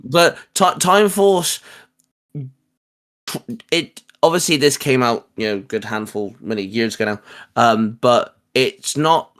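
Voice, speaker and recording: A man with a mid-range voice hosts a relaxed, geeky chat. He speaks haltingly, circling back and repeating the starts of words as he works out what he means. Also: mid-range voice. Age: adult, a parent. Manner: halting, repetitive, stammered word starts. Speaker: host. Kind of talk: casual geek chat.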